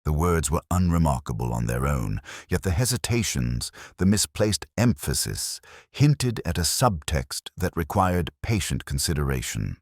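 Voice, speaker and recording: Guy acting as a brooding male detective narrating his case, super low voice, formal and measured, pitch moves around a lot. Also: big pitch swings, no room sound, studio quality, heavily acted. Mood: brooding, reflective. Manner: measured, deliberate, formal literary phrasing. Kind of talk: scripted first-person mystery narration.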